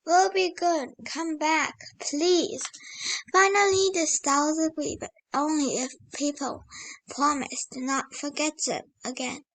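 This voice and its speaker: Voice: high voice, fairly even intonation, slow pace, fairly bright tone, rough recording. A child hosting a read-aloud, reading a picture book and breaking off to exclaim over the pictures with wonder.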